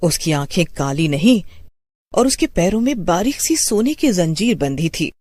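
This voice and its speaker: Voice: medium pitch, very animated, measured pace, darkish tone. A narrator reading a scripted horror story.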